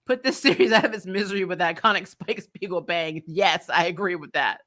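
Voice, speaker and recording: Female review-show host, bright forceful voice, casual live chat, exasperated and blunt, swears and laughs through rants. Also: on the low side, a little fast.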